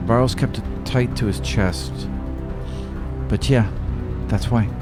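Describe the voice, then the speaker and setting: A man playing a hesitant, gentle young god visiting from the future in a tabletop roleplay, speaking in halting, apologetic phrases. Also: medium pitch, natural voice. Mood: grave, explanatory. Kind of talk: improvised in-character tabletop roleplay. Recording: music playing under the voice.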